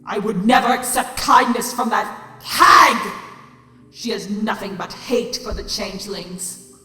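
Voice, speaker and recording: A woman playing a menacing, bitter, weary changeling queen in an audio drama, slow and theatrical, often sinking toward a whisper. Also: medium pitch, sharp tone. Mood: furious. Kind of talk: audio drama acting of fan fiction. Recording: very echoey, long hall reverb. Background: music.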